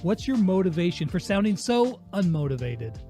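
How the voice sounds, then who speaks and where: High-pitched casual man hosting an interview. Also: very animated, moderate pace, dark tone, faint music behind. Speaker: interview host.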